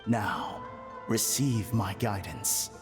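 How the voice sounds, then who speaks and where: A man's voice: fairly low pitch, drawn-out words, music in the background. A man playing a solemn, pompous mystic healer in a video game, grand and formal, his voice in long reverb. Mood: solemn, commanding.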